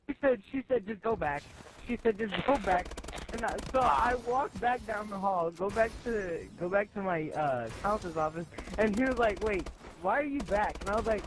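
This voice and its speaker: A high-voiced teenage boy spins a casual story for his friends. He talks in slang and acts out each side of the conversation. The recording is noisy, from a gaming chat mic with game gunfire in the background. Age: teenager, high school student. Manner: animated, acts out both sides of conversations, slangy. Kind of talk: casual anecdote telling among friends.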